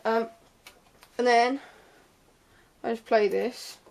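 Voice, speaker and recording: A woman's voice: fairly high pitch, fairly even intonation, slow, gravelly. A young woman gives an informal, unscripted hands-on tutorial in a hesitant, offhand way, trailing off into asides. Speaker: sound tech tutorial presenter.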